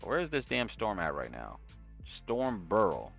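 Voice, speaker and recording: Low-voiced slangy New York man. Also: wildly swooping pitch, unhurried, creaky, a bit bright, faint music behind. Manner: slangy and conversational, often tags "right?". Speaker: live-stream trading host. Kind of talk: casual live-stream chat with viewers.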